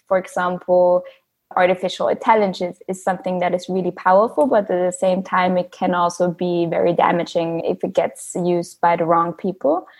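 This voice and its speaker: A woman's voice: mid-range voice, darkish tone. A young woman from Austria, a handbag designer, answering interview questions in a loose, chatty way with frequent fillers. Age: young adult.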